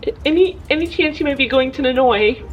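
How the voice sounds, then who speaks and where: A woman's voice: high voice, brisk, close to an everyday voice. A woman voicing a teasing, nosy spacer in a tabletop game, playful and a little hesitant, on a muffled lo-fi mic. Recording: muffled lo-fi mic with background noise.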